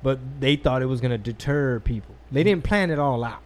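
A man's voice: medium pitch, animated, brisk. A man from Texas co-hosting a podcast, talking in a laid-back, profane way and mixing in Spanish words. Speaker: podcast co-host. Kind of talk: casual podcast chat.

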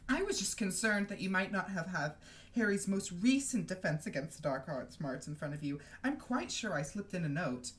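A woman's voice: fairly low pitch, expressive, moderate pace, a little hiss or hum, pitched above the performer's own voice, close to an everyday voice. A woman voicing a falsely sweet, meddling female bureaucrat with a honeyed, girlish, ingratiating manner, as in a storybook. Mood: sweetly indignant.

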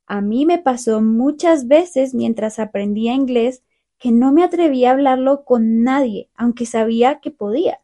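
A young woman hosting a learner podcast, talking through a calm, clear, scripted monologue. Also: on the high side, normal speed. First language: Spanish. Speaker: podcast host.

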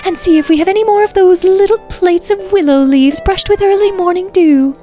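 A squeaky cooing flower fairy. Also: female voice, a little fast, dark tone, faint music behind. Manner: high, cooing and breathless. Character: gushing tiny female flower fairy, sugary.

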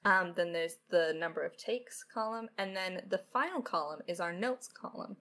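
A woman's voice: on the low side, animated, normal speed, fairly bright tone. A woman co-hosting a casual question-and-answer chat as a writer, with a wry, conversational way of talking. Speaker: lead writer and podcast co-host.

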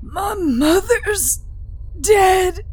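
A man's voice: very high-pitched, animated, drawn-out words, no echo, voice pushed up, exaggerated voice. A woman voicing a horror-stricken dying brother, wheezing with labored breath in an audio story. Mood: anguished.

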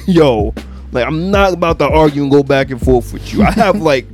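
Animated young new guy, slangy. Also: fairly high pitch, moderate pace, music in the background. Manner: loud, animated storytelling with slang. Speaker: podcast co-host. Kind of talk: casual chat.

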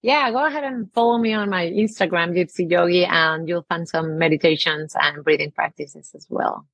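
Husky-voiced Mexican yoga teacher. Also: female voice, fairly low pitch, moderate pace, dry recording. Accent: Mexican Spanish. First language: Spanish. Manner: gentle and searching, many restarts and "I mean". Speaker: yoga teacher and podcast guest. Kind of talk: casual interview chat.

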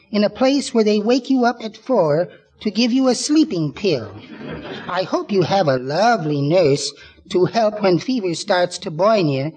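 A man's voice: high-pitched, darkish tone. A man playing a meek, earnest little amateur poet in 1940s radio comedy, speaking politely and reciting with care. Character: meek, mild-mannered little man who writes comic greeting-card poems. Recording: noisy 1940s radio recording.

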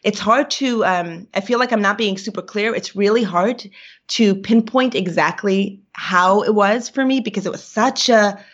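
A woman in her thirties from New York whose first language is Yiddish speaks reflectively, often pausing to search for the right word. Her voice sits at a middle pitch. The recording sounds muffled and lo-fi. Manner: reflective, searching for words, many fillers. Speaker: guest. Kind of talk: personal interview. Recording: dull lo-fi recording.

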